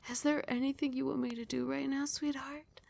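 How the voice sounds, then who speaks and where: Woman acting doting vampire queen, breathy and creaky. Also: high-pitched, level tone, brisk, darkish tone. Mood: tender, devoted. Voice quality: breathy, often dropping into whisper. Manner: doting, soothing, affectionate pet names. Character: loving, doting vampire queen, tender and protective. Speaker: ASMR roleplay voice actress. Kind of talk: ASMR roleplay, intimate whispery murmur.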